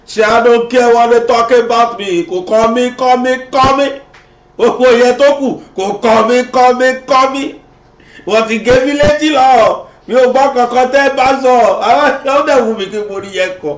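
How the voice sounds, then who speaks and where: A Nigerian man with a Yoruba accent, delivering an animated, mocking political rant that switches between English and Yoruba. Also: very high voice, moderate pace. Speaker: commentator. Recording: roomy, dull lo-fi sound.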